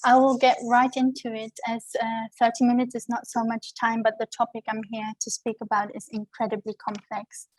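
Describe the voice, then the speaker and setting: A woman speaking English as a second language after German reads a prepared lecture in an earnest, measured way. Her voice sits a little high but keeps a very level, unvaried line. The sound is dry and close, coming over a video call from home. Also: little pitch movement, no echo, rough recording. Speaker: guest lecturer.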